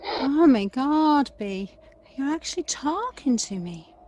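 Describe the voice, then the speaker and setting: A woman's voice: sits high, big pitch swings, slow, a little mellow, heavily acted. A woman playing an anxious, needy, emotional sister in an audio play. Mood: hurt, pleading.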